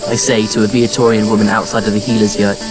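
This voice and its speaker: A man playing a somber, determined wanderer, narrating a science fiction audio drama in the first person, measured and reflective. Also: lowish voice, flat delivery, music in the background, natural voice, low-quality recording.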